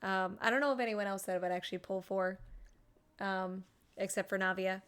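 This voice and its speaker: Bright, excitable woman game streamer. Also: medium pitch, brisk, clear, resonant tone. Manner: excitable, giggly, teasing asides. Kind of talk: casual live gameplay commentary.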